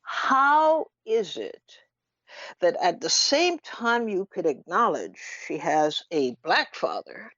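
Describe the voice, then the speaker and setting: A woman giving unscripted radio commentary in an emphatic, deliberate manner, with rhetorical questions and pauses. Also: fairly low pitch, very animated, fairly bright tone, dry recording. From Trinidad. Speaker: radio talk show host. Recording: dull lo-fi radio audio.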